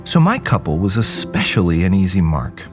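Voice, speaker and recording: A low male voice plays a jaded, rebellious young man in an audio drama. He narrates in first person with lively swings of pitch and wry, conversational asides. Faint music plays behind him. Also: normal speed. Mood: wry, rambling.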